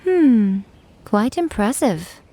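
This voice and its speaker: A woman's voice: fairly high pitch, very animated, slow pace, warm tone, a little hiss or hum. A woman voicing an elegant, teasing fox shrine maiden in an anime-style game, poised and playfully drawling. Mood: sly amusement.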